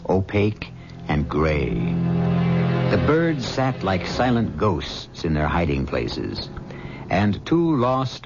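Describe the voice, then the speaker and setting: A man hosts an old radio mystery, narrating in a measured, ominous way. His voice is deep, and music plays under a dull, muffled recording. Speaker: host and narrator.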